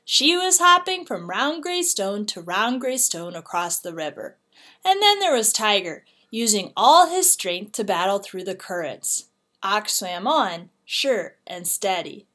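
A woman narrating a children's storybook expressively, with deliberate, dramatic phrasing. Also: mid-range voice, very animated, pushed and bright. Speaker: read-aloud teacher.

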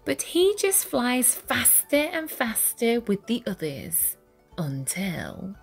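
A woman reading a children's picture book aloud with deliberate, dramatic phrasing for young listeners. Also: on the high side, huge pitch swings, creaky. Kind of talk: expressive read-aloud storytelling. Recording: music behind the voice. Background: music.

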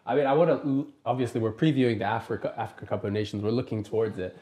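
A man's voice: medium pitch, fairly even intonation, rapid-fire, warm tone. A man from Kenya hosting a podcast, asking long rambling questions full of fillers, recorded on a lapel mic in a small wood-panelled room. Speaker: podcast host. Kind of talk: casual interview.

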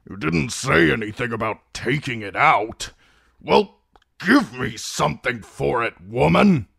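A man voicing a grouchy, proud old man of a clan in a storybook, with a creaky, grumbling delivery. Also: medium pitch, expressive, unhurried, fairly bright tone, no echo, studio quality, voice pushed up, heavily acted. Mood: indignant. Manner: grumbling, impatient, snapping.

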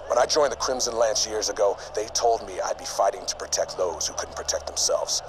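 An actor playing an earnest, resolute military leader with a commanding, solemn way of speaking, in a video game. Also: male voice, on the low side, fairly quick, noisy background, close to an everyday voice. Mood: solemn, urgent. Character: earnest, resolute male military resistance leader rallying soldiers. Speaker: game character. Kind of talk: scripted speech in a video game audio log. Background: music.

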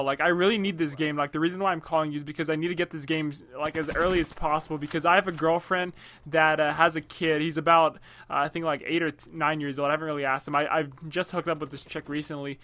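A young man with a bright, forward voice pitched a little high. He chats casually as a prank caller, rambling along in slangy, pleading phrases. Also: steady tone, fast talker. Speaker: prank caller and video host. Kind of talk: casual prank phone chat.

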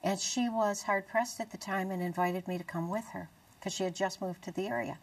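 A middle-aged American woman presenting a religious talk show in an earnest, deliberate way. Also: mid-range voice, fairly even intonation, recorded from a distance, low-quality recording. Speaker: TV show host. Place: small dark TV studio, indoors.

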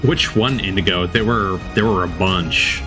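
A man's voice: sits low, a little slow, over music. A man hosting a watch-along with casual, dry, critical commentary, close to the mic.

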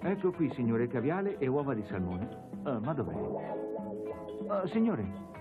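Fussy, formal waiter, animated. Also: male voice, medium pitch, a little slow. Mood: flustered. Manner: formal, deferential. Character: polite, fussy male French-restaurant waiter. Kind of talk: animated cartoon dub. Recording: muffled narrow-band sound with music.

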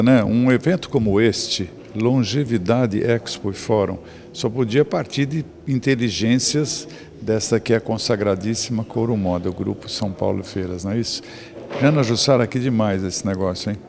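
Gravelly, effusive Brazilian male interviewer. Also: on the low side, moderate pace, darkish tone, slight background noise. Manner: effusive, full of superlatives. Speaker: TV show host and interviewer. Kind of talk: on-location interview. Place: wood-panelled event lounge. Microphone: handheld microphone.

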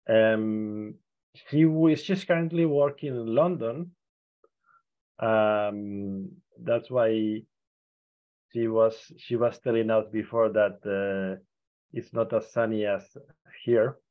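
A man speaks very slowly as a seminar moderator, pausing often and filling gaps with "uh". He talks through an earphone mic on a video call. The sound is dull and lo-fi. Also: mid-range voice. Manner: slow and hesitant, with many pauses and fillers. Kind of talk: introducing a speaker.

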